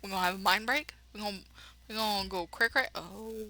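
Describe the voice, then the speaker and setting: A woman's voice: mid-range voice, brisk, sharp tone. A woman reading a game dramatically and adding casual, slangy, irreverent commentary as a let's play host.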